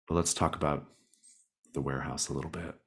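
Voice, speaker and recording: A man with a low, husky voice leads a tabletop roleplaying game. He moves between reading rules, describing scenes and prompting players with an encouraging manner. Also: fairly even intonation, brisk, a bit bright. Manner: encouraging, guiding players with questions. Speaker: game master. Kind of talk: narrating a tabletop roleplaying game, part read from rules and part conversational.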